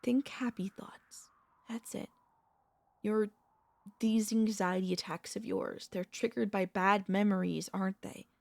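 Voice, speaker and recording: A woman playing a tender, anxious, possessive vampire mistress in an intimate ASMR audio roleplay, speaking in soft, formal, pleading phrases with dramatic pauses. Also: medium pitch, fairly even intonation, vocal fry, no echo. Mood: soothing.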